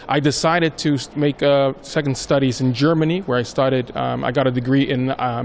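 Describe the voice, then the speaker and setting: A man's voice: medium pitch, darkish tone, a little hiss or hum. A man in his thirties from Honduras, a native Spanish speaker with an American-schooled accent, answering interview questions conversationally with frequent fillers. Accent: American-schooled Honduran English. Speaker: strategy manager at a postal company.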